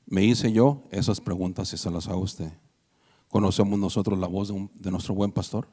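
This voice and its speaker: A man's voice: low voice, steady tone, brisk, dark tone. A man preaching a conversational sermon to his congregation, asking them rhetorical questions. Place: church hall. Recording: reverberant church hall.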